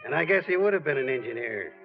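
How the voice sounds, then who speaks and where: A man's voice: mid-range voice, animated, quick pace, vocal fry. A man playing a wry, folksy sportswriter in a 1940s radio drama. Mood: reflective. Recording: tinny old radio recording with music.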